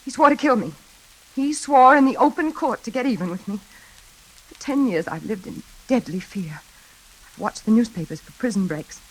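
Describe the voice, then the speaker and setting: An actress in a 1940s radio suspense drama playing an anxious, frightened woman in her thirties, speaking haltingly and dramatically. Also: fairly high pitch, a bit bright, low-quality recording. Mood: haunted. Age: 30s. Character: frightened single woman haunted by her mother's killer. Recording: old radio recording, faint music behind.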